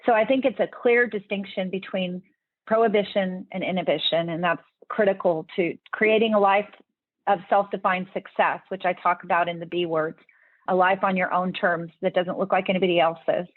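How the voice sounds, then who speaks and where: A woman's voice: on the low side, steady tone, normal speed, no echo. A woman guest talks earnestly through her points, explaining step by step and restarting phrases as she thinks. Her voice comes over a thin, phone-like remote line with no room sound at all. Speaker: podcast guest, leadership speaker. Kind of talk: interview answers.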